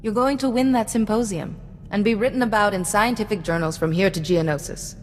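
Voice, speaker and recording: An actress playing a composed, dutiful female warrior-sage in a video game, speaking in a measured, direct way. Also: mid-range voice, natural voice. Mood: supportive. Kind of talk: video game cutscene acting. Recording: long reverb, music underneath.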